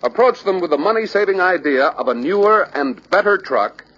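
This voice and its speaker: A man reading scripted instructional narration for a sales training film, deliberate and emphatic. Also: high voice, animated, fairly bright tone. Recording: dull lo-fi old training film soundtrack.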